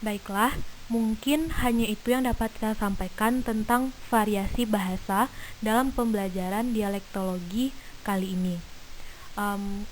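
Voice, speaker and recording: A young woman student in her twenties giving an earnest, measured lesson on language variation, with frequent hesitations. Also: mid-range voice, a little hiss or hum, low-quality recording. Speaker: linguistics student presenter. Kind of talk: reading an explanatory lecture.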